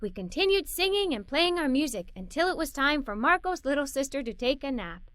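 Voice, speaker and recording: Children's storybook lead: curious, adventurous little girl, played by a woman, very lively and bright, expressive and playful. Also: high voice, fairly quick, dry recording. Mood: cheerful, excited. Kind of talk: scripted children's story read-aloud.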